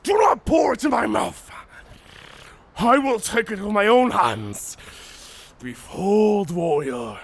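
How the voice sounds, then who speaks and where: A savage male werewolf for an audio roleplay, proud and taunting. He draws out his formal challenges very slowly in a husky, rasping growl. His delivery is theatrical and menacing, with big swings in pitch. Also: expressive, full character voice. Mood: wary, commanding. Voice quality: gravelly, raspy growl. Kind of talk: cinematic audio roleplay acting.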